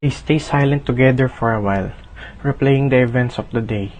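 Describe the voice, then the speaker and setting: A man's voice: medium pitch, fairly quick, a little mellow, natural voice, poor audio. A man playing the solemn storyteller who narrates a fable in a scripted radio drama. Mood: reflective. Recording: faint background noise.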